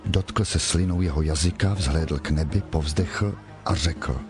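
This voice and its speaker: A man narrating scripture from a script in a solemn, measured manner. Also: rumbling low, darkish tone. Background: music.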